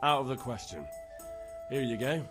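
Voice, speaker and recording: An actor playing an eager, cheeky young blacksmith's son in a video game, playful and casual. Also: male voice, mid-range voice, animated, moderate pace, over music, lightly acted. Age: young adult. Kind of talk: video game cutscene acting.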